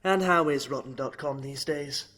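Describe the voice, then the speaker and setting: A man's voice: mid-range voice, normal speed, sharp tone. An actor playing a smug, sadistic male villain in an audio drama, mocking, theatrical and manipulative. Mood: sly, gleeful.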